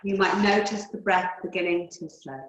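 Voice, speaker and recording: A woman yoga teacher leading guided relaxation and breathing in a calm, soothing, unhurried way with long pauses. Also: fairly low pitch, highs cut off. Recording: roomy, echoey indoor sound.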